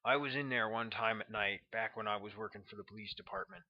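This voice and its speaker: A man's voice: fairly low pitch, fast, dull audio. A man voicing a shady ex-Green Beret in an audiobook, talking in a colloquial, conspiratorial way. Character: shady male ex-Green Beret spreading eerie rumours.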